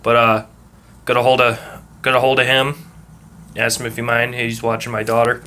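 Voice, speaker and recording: A young man with a Massachusetts accent muddled by moving around talks in a calm, relaxed rhythm. He rambles along with lots of ums and uhs and drops swear words casually. A faint hiss sits behind his voice. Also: mid-range voice, normal speed. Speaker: vlogger. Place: at work.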